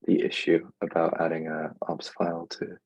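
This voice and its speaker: A man's voice: low-pitched, completely flat delivery, normal speed, darkish tone, slightly rough, no room sound, muffled recording. A man talking casually and agreeably in a technical online meeting, with a laid-back manner. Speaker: software working group participant. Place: online group call.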